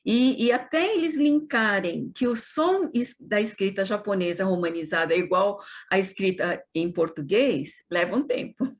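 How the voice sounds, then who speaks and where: A woman's voice: mid-range voice, animated, normal speed, few pauses. A woman from Brazil who teaches Portuguese to children, explaining eagerly with many restarts in a casual panel talk. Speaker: heritage Portuguese teacher in Japan.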